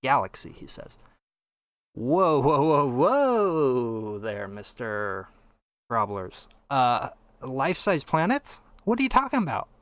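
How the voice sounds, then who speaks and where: A man's voice: mid-range voice, huge pitch swings, slow pace, a bit bright. A man co-hosting a casual podcast, reacting to a game trailer with sarcastic disbelief. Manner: sarcastic, incredulous. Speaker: podcast co-host. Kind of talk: casual chat reacting to a video.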